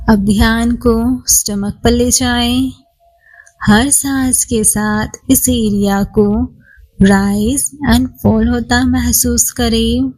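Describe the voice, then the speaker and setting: A woman leads a guided body scan meditation as a yoga instructor, calm and soothing, leaving long pauses between phrases. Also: a little high, poor audio.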